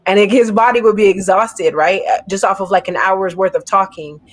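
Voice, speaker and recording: A woman speaks in an energetic, emphatic way, building her points and checking in with "right?" as she goes. She is a poet on a discussion panel, and her voice sits at a middling pitch. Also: fairly quick, low-quality recording.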